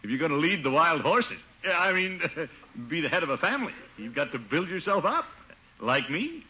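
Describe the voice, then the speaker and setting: Pompous, animated middle-aged radio uncle. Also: male voice, fairly high pitch, moderate pace, heavily acted. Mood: blustering. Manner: pompous, self-satisfied chuckling blusterer. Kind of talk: 1950s radio sitcom acting. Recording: muffled, lightly noisy old radio broadcast.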